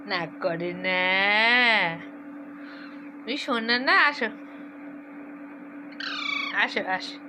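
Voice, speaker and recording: A woman's voice: mid-range voice, pushed and bright, slight background noise. A young mother soothing and coaxing a sulking child in sing-song baby talk.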